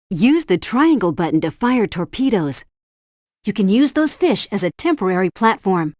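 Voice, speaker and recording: A woman's voice: mid-range voice, darkish tone, dry recording, studio quality, close to an everyday voice. Precise woman as a spy agency helpdesk operator. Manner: precise, instructive, briefing-like delivery. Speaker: mission helpdesk guide. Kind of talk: scripted game tutorial narration.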